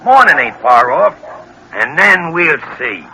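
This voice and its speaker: A commanding, cold-blooded gangster boss in old-time radio style. The voice is very sharp and a little high, talking in rough slang. A faint hiss of an old broadcast sits around it. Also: male voice, very animated, slow, full character voice, rough recording. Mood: grimly scheming. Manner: tough, slangy, ungrammatical talk. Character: ruthless, commanding gangster boss hunting with dogs. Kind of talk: 1930s-1940s radio acting.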